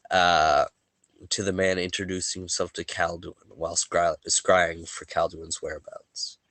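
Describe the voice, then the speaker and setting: A man's voice: sits low, a bit bright, dry recording. A man running a tabletop game, sniffly from allergies and a little worn in the voice, chatting loosely and then reading a recap of the story so far. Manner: laid-back, joking, stumbles and restarts. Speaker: game master. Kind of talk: casual chat and recap narration for a tabletop game.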